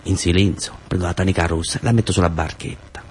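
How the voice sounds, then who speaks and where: A man's voice: low voice, fast talker, husky. A man with a southern Italian accent telling a first-person theatre story in short phrases with incantatory repetition. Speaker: actor-storyteller.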